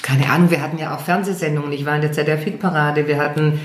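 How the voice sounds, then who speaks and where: A woman's voice: low-pitched, fairly even intonation, fast. A German woman singer, chatting in an interview, hesitant and self-deprecating with many fillers. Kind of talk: casual interview chat. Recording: echoey room.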